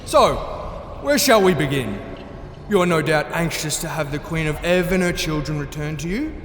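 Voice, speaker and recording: A man's voice: a little high, animated, moderate pace, a bit bright, slight background noise. An actor playing a powerful gnome king in a fantasy audio drama, gleefully menacing and mock-polite, toying with his listeners and swinging from chatty to grand. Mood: smug, playful. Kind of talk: full-cast fantasy audio drama acting. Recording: echoey reverberant hall, music underneath.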